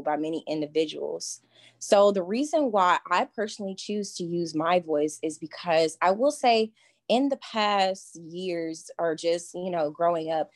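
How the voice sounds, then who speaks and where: Earnest young woman, low and dry. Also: darkish tone, dry recording. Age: young adult. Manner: earnest, measured, repeats herself to stress points. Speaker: podcast host. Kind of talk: podcast introduction.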